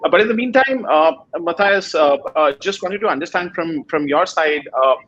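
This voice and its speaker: Male moderator on a video call, lots of uhs, slightly high voice, muffled lo-fi audio. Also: animated, fairly bright tone, a little hiss or hum. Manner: halting, many "uh" fillers. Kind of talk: moderating an online panel.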